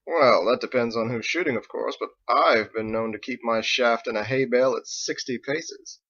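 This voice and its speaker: Man playing a proud, prim armored knight, bright tone. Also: medium pitch, normal speed, lo-fi sound, close to an everyday voice. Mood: proudly indignant. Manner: formal, prim, indignant, strutting. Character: proud, naive armored knight, an earnest male outsider. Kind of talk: audiobook character acting.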